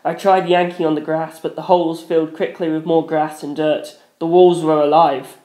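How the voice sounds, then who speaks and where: A man's voice: on the high side, little pitch movement, normal speed, mellow. A man reading a first-person story aloud as a dramatic narrator. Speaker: audiobook-style narrator. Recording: roomy echo.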